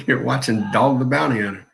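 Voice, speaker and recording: A man's voice: medium pitch, normal speed, gravelly, rough recording. A man in his forties chatting casually on a live stream, laid-back, laughing often and telling long stories. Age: 40s. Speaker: co-host of a live chat stream.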